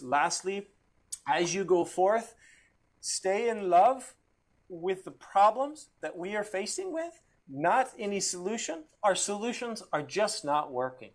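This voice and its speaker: A man with a fairly high voice delivers a formal, reflective address. He sounds earnest and measured, placing clear emphasis on key phrases as a guest speaker would. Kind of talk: formal closing speech, quoting and reflecting.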